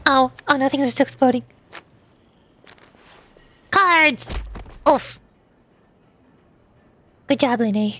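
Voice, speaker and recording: A woman's voice: high voice, slow pace, pauses often, bright, forceful tone, slight background noise. A woman giving casual, playful game commentary, often thinking aloud hesitantly as she goes. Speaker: streamer voice-acting along with a video game. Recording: music behind the voice. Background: game music.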